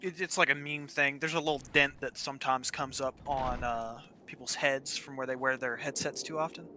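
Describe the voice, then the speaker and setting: A man's voice: mid-range voice, steady tone, moderate pace, sharp tone, slight background noise. A young adult man co-hosting gameplay with casual, playful, informal chat. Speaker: gaming co-host.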